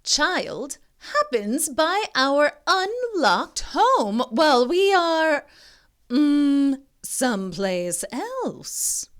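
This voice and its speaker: A woman's voice: high voice, big pitch swings, very slow, voice pushed up, heavily acted. A woman voicing a scheming mother dinosaur from a picture book, emphatic and drawn out with mock innocence. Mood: mock innocent.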